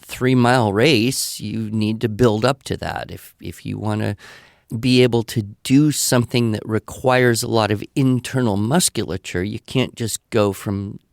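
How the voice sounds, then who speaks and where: A man's voice: fairly low pitch, steady tone, a little slow, creaky, no echo, studio quality. An older man answering questions in a conversational, didactic way, thinking aloud and explaining with examples. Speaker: podcast guest expert answering listener questions.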